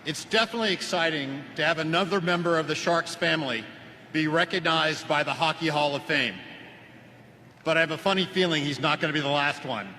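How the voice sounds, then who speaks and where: A man with a forceful, bright voice delivers a prepared ceremony tribute. His pitch sits a little high, and the words ring with long reverb through a big indoor arena with faint noise. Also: a little fast. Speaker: sports team president. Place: large indoor hockey arena.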